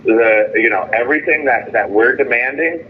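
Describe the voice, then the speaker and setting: An indignant man speaking off the cuff over the phone, halting and repeating himself as he airs grievances in an emphatic way. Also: mid-range voice. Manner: halting, repetitive, with stumbling restarts; indignant, emphatic storytelling with quoted dialogue. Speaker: detainee calling in from jail. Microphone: telephone. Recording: tinny, noisy phone line.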